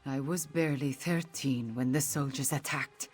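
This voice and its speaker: A woman playing a proud, solemn exiled princess in a fantasy game, speaking gravely and formally in a husky voice. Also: normal speed, soft background music. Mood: wounded, proud. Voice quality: low, husky. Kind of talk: video game character acting.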